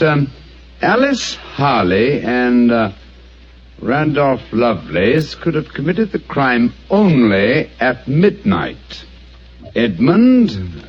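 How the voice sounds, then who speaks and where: An actor playing a calm, methodical master detective who talks in a measured, explanatory way, on an old radio drama. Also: male voice, medium pitch, husky, exaggerated voice. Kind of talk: 1940s radio acting. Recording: dull old radio broadcast with faint hiss.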